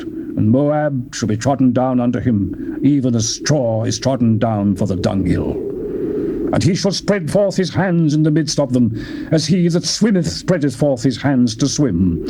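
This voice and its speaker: Man, solemn scripture reader, declamatory, mid pitch, noisy recording. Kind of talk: dramatized scripture reading.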